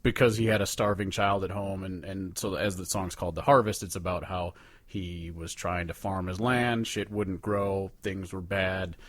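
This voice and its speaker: Low-voiced man rambling casually, dry close sound. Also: steady tone, moderate pace, no room sound. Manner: rambling, profane, conversational. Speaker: podcast co-host. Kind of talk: casual chat, ranking songs with commentary.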